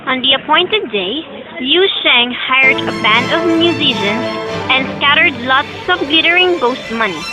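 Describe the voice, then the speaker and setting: A woman's voice: high-pitched, lively intonation, a little slow, music in the background, low-quality recording. A young woman reading scripted storybook narration for an amateur audio drama. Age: young adult.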